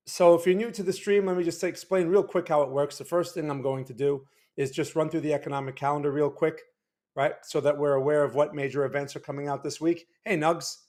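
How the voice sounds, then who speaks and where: A man's voice: on the high side, fairly even intonation, fairly quick, darkish tone. Chatty man hosting a livestream. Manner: chatty, reads out chat names, loose restarts. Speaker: market analysis livestream host. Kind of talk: casual livestream talk. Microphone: desk microphone.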